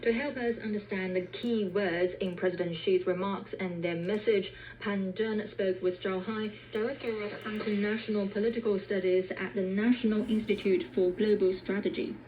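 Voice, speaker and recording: A woman's voice: medium pitch, steady tone, moderate pace, hardly any pauses. A woman reading a scripted news report as a radio newsreader. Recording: dull, noisy shortwave radio broadcast.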